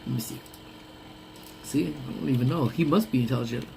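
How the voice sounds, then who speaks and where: A man's voice: medium pitch, fairly quick, gravelly, background noise. A young man hosting a casual lunch-break live stream, rambling and laughing often, with a voice that sounds congested. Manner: rambling, laughs often, trails off mid-thought.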